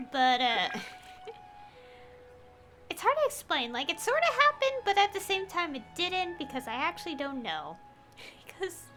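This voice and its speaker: A woman's voice: extremely high pitch, pushed and bright, a little hiss or hum. A young woman streaming a game, chatting casually and rambling with many fillers and little laughs. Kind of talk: casual gameplay commentary. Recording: game music playing behind.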